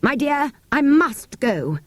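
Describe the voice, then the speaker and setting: A woman's voice: sits high, sing-song, slow, dry recording, voice pushed up, heavily acted. A woman voicing a fussy, alarmed male country vicar in an audiobook, genteel, exclaiming and commanding. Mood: flustered, commanding.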